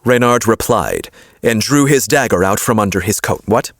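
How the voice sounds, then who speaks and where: A man's voice: lowish voice, moderate pace, slight background noise. A man reading scripted audiobook narration and repeating lines in retakes.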